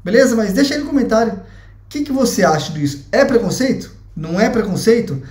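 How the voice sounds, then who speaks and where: A man's voice: high-pitched, expressive, fast, a little mellow. A young Brazilian man with a Brazilian Portuguese accent, giving his opinion in a blunt, chatty vlog and swearing freely. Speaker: vlogger. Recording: echoey room.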